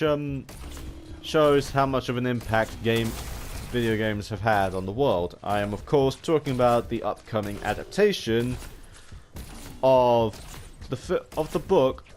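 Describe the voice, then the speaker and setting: A man giving unscripted, rambling commentary as a gaming video host, halting and often restarting his sentences mid-word. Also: mid-range voice. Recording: home recording with background noise.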